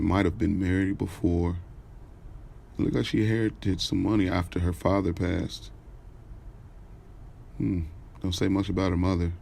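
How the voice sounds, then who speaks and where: A man voicing an aloof, blunt, sensible male acquaintance in audio drama dialogue, measured and matter-of-fact. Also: low-pitched, vocal fry, mellow, a little hiss or hum.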